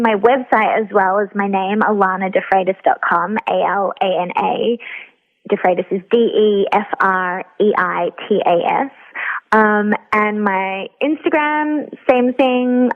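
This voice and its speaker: Over a narrow, tinny phone line, a woman with a medium-high voice chats as an interview guest. She is informal and a little hesitant, pausing to think. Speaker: interview guest, actor and writer. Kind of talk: casual chat. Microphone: telephone.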